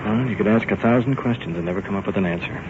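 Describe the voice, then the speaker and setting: A man's voice: fairly low pitch, very fast. An American man reporting live from the field as a radio host, narrating what happens around him. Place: outdoors in the field at a search scene. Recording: muffled 1950s field recording, loud noise.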